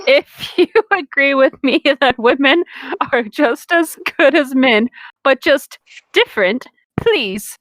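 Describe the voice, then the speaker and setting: Woman playing a pompous praying schoolboy, high-voiced. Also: lively intonation, takes it slowly, fairly bright tone, dry recording, full character voice, poor audio. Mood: earnest, pleading. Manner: declamatory, mock-solemn. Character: pompous zealous schoolboy shouting prayers, storybook parody.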